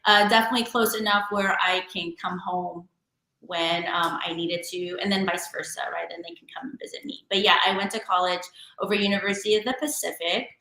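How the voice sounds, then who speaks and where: Bright, chatty woman. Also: lowish voice, fairly even intonation, a little fast, roomy sound, rough recording. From San Francisco Bay Area, California. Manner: chatty and warm-hearted, many fillers and restarts. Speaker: guest entrepreneur and DJ. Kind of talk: casual interview chat.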